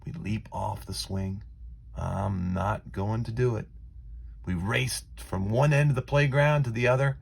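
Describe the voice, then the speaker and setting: Casting: male children's story narrator, low gravelly voice, dramatic and deliberate phrasing. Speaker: storybook reader.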